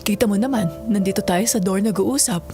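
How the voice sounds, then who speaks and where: Actress as concerned mother, firm, medium pitch, audio drama acting, music underneath. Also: brisk, lightly acted. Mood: firm, worried.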